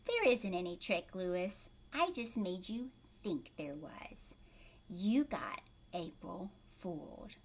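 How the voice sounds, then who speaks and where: A woman's voice: mid-range voice, very animated, slow pace, voice pushed down. A woman voicing a good-natured, helpful schoolboy in a children's storybook, friendly and earnest. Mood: pleased.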